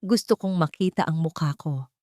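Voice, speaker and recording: A woman's voice: low-pitched, normal speed, darkish tone, no echo, clean studio sound, lightly acted. A woman voicing a wounded, betrayed wife who is determined and intense, in an audiobook drama. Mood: firm. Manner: intense, emphatic.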